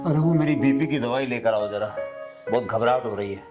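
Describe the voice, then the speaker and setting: A man's voice: mid-range voice, a little fast, music in the background, close to an everyday voice. A man playing an anxious, exasperated elderly landlord in a melodramatic short film.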